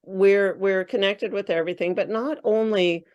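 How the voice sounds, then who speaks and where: A woman's voice: mid-range voice, fairly even intonation, normal speed, darkish tone, highs cut off. A woman who is a spiritual coach, chatting as a guest in an interview and thinking aloud with frequent fillers and restarts. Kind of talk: casual interview chat.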